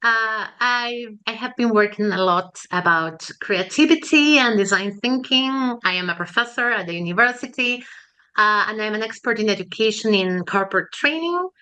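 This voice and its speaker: A woman opens a webinar over a video call. Her voice is bright and crisp, clear and smooth, and she speaks in a friendly way with a few fillers. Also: mid-range voice. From Argentina. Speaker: webinar co-host, professor. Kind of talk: webinar presentation.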